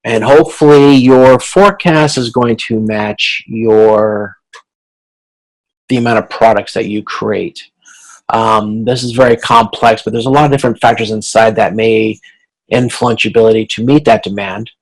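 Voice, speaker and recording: A man teaching as a college instructor in an informal recorded lecture, explaining in a halting way and correcting himself as he goes. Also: on the low side, fairly even intonation, rough recording.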